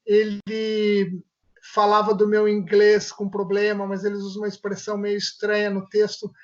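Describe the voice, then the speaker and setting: A Brazilian man, a family physician, answering interview questions in a measured way and often restarting his phrases as he looks for words. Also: sits high, monotone. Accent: Brazilian Portuguese. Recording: muffled lo-fi audio.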